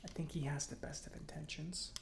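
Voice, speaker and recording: A man playing a chatty, opinionated robot mechanic in an ASMR roleplay, speaking softly and often near a whisper in a halting, musing, conversational way. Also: on the high side, fairly even intonation, gravelly, very noisy. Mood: reflective, doubtful. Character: chatty, opinionated male government robot mechanic, gently confiding. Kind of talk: soft-spoken ASMR roleplay.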